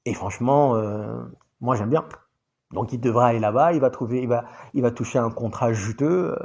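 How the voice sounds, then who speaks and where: Animated man talking football to viewers. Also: medium pitch, moderate pace. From grew up in Saudi Arabia. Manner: animated, addresses viewers as guys. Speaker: football vlogger. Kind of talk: casual commentary to viewers.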